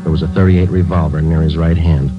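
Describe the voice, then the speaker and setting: An actor playing a stoic American police sergeant, speaking in terse, deadpan 1940s radio style. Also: male voice, a bass voice, fairly quick. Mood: flat, matter-of-fact. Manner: deadpan, matter-of-fact, terse. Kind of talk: 1940s radio acting, clipped case narration. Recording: muffled vintage radio recording, music behind.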